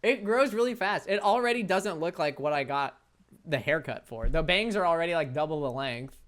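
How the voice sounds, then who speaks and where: A young man whose voice sits light and high, talking casually to his viewers over a desk mic. He jokes at his own expense and laughs easily between comments. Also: lively intonation, moderate pace, fairly bright tone. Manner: playful, self-mocking, laughs often. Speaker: streamer. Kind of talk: casual chat reading viewer messages while gaming.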